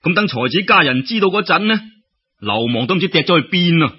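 A male Cantonese storyteller narrates a folk tale with theatrical exclamations. His voice sits slightly high and is rough-edged, with very lively intonation. The recording is dull, like old radio. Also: fairly quick.

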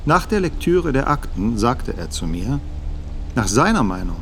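An actor playing a careful middle-aged theology professor giving formal, measured testimony in a radio drama. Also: male voice, mid-range voice, very animated, a bit bright, a little hiss or hum. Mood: guarded. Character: guarded, careful 56-year-old theology professor testifying as witness.

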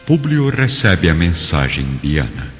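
A man's voice: deep voice, sing-song, measured pace. A man narrating a radio drama in a solemn, theatrical storytelling style. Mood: dramatic. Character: grave dramatic storyteller of Roman tale. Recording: reverberant, muffled audio with faint music.

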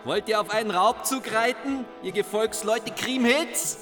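A man's voice: sits high, a little slow, over music. A man acting a brave, watchful warrior minstrel in a German radio play. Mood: taunting challenge.

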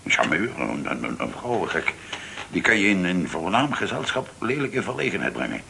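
An actor playing a disgruntled, status-anxious senior office man in a radio play, blustering and halting as he speaks. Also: sits low, very animated, gravelly, pushed and bright, a little hiss or hum, full character voice. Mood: indignant grumbling.

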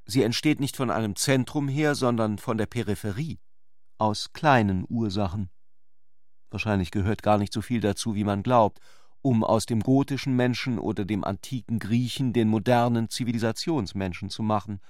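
A man's voice: fairly low pitch, normal speed, darkish tone, dry recording, studio quality. A man narrating a literary radio drama from a script.

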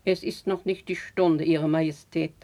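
A woman's voice: sits low, fairly even intonation, moderate pace, warm tone. A woman playing a stiff, rule-bound duchess in 1950s radio drama, speaking formally and pedantically. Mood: prim, disapproving. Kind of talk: 1950s German classical radio drama acting.

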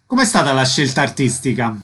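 A man's voice: medium pitch, very animated, brisk, sharp tone, slightly rough. A man hosting a radio interview, asking brief, direct questions.

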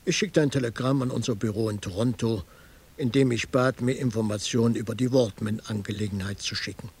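An actor playing a dry, observant detective who narrates his case in a radio crime play with wry, measured storytelling. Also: mid-range voice, vocal fry, a little mellow. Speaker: first-person narrator.

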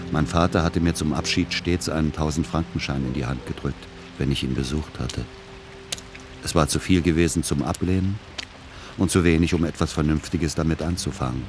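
An actor playing a weary, melancholic middle-aged son in a radio play, speaking in an understated, measured, reflective way. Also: male voice, extremely low pitch. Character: weary, melancholic adult son narrating his journey. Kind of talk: literary first-person narration and radio play dialogue.

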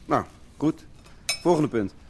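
An actor playing a brisk, needling squatter chairing a meeting in a Dutch radio drama. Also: male voice, fairly high pitch, expressive, breathy edge, exaggerated voice. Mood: businesslike.

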